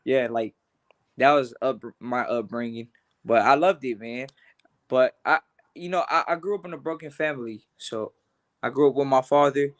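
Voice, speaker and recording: A man's voice: mid-range voice, normal speed. A young man who grew up between Los Angeles and Honduras, speaking earnestly and casually in an interview, often repeating words as he starts a thought. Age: young adult. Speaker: boxer, interview guest.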